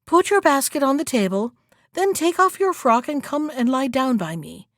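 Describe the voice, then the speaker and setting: Cunning storybook wolf playing frail grandmother, sly and coaxing, very animated intonation, acted by a woman. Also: high voice, normal speed, no room sound, studio quality, pitched above the performer's own voice. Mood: feigned sweetness. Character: cunning male wolf disguised as an old granny.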